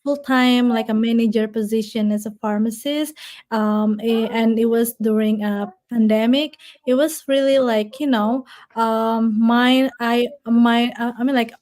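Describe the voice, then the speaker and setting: A woman's voice: fairly high pitch, fairly even intonation, unhurried, darkish tone. A woman in her thirties from Indonesia, a guest telling her story in a casual interview, with many fillers and restarts and frequent laughter.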